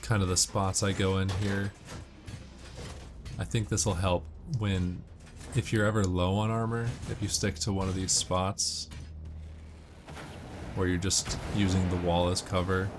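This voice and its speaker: A man doing casual video game walkthrough commentary, explaining tips in a measured way. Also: low voice, level tone, noisy background. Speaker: gamer giving walkthrough commentary.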